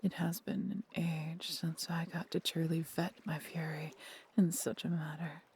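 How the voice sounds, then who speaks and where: A woman playing a proud, tender female dragon in a fantasy audio roleplay, with a breathy, theatrical, measured delivery. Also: lowish voice, dark tone, exaggerated voice. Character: proud, doting female dragon, adventurous and protective.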